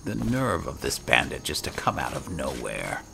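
A man narrating a fantasy game in character, measured, solemn and dramatic. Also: very deep voice, huge pitch swings, creaky, sharp tone, slight background noise. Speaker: gaming video host playing in character.

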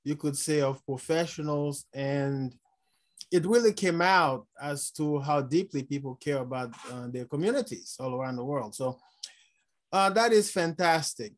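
A man in his fifties with a Haitian accent, speaking as a panelist on a video call from his office, chuckling often and pausing on fillers. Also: on the high side. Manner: warm, chuckling, unhurried with many fillers. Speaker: panelist, chief medical officer. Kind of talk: conference talk over video call. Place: office with blinds and glasses display. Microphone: video call webcam.

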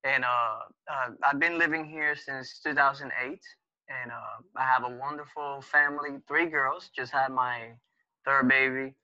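Guest: thirties man, Spanish first language, very bright tense tone, dry dull webcam audio. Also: medium pitch, steady tone, dry recording. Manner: halting, many filler uh pauses. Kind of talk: casual conversation over video call. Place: small room at home. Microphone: webcam video call.

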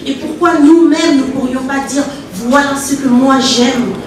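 A woman from Congo speaks in a high voice, earnest and halting, to a small audience in an ornate lounge. She holds a mic, but the camera sits far back in a very echoey room. Steady background noise fills the recording. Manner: earnest, halting, many restarts and fillers. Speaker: event organizer presenting her art business. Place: ornate lounge with red walls, indoors. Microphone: handheld mic, filmed from far back.

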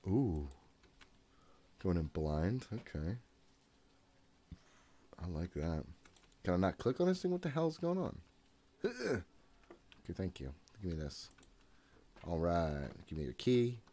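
A man in his thirties with a fairly low voice streams a horror game from a room at home. He mutters to himself in a casual, jittery way, with plenty of swearing. A little background noise sits under his voice. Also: wildly swooping pitch, slow pace, pauses often, mellow. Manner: jittery self-talk with frequent swearing. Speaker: gaming streamer. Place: small room at home, indoors.

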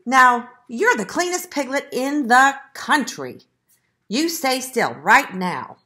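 A woman's voice: sits high, very animated, slow, bright, forceful tone, voice pushed up, full character voice. A woman voicing a hungry, gullible, blustering male fox from a storybook, emphatic and growling. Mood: bossy. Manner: emphatic, growling, easily exasperated. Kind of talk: children's storybook read-aloud.

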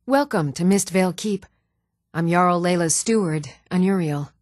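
A woman's voice: lowish voice, moderate pace, a little mellow, no echo, natural voice. Composed fantasy townswoman, acted by a woman, very dry sound. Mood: composed, formal. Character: composed, polite fantasy townswoman, steward or priestess. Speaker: video game voice actress. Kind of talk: fantasy game character dialogue.

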